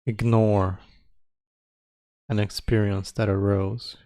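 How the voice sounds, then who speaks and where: A man leading a guided meditation as its teacher, speaking calmly in spare, measured phrases with long pauses. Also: lowish voice, dry recording, clean studio sound. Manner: calm, measured.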